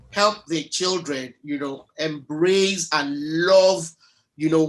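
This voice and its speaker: Interviewer, mid pitch voice, lots of ums and you-knows, asking about a book. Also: fairly bright tone. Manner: hesitant, many fillers. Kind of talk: conversational interview.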